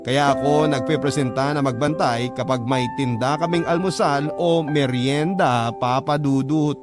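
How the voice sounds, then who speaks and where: A man narrating a listener's love story on a radio drama, reading it in steady first person. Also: mid-range voice, normal speed. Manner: steady storytelling, addressing the host. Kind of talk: scripted narration. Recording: loud music bed.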